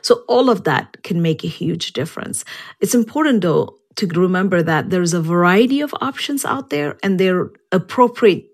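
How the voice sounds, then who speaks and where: A woman speaking as an expert guest in an interview, explaining science in a measured way. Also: lowish voice, animated, slightly rough.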